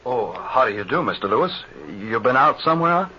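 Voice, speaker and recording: An actor playing an evasive, polite new mechanic with hesitant speech in an old-time radio adventure serial. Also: male voice, mid-range voice, big pitch swings, brisk, sharp tone. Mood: evasive, uneasy. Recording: dull, noisy old radio serial recording.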